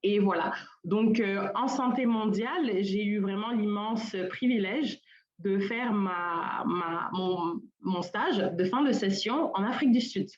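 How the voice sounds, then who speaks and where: A woman's voice: mid-range voice, slow pace. A young woman from Senegal giving an informal conference talk, hesitant and full of fillers and restarts. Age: young adult. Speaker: colloquium panelist. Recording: roomy, dull lo-fi call audio.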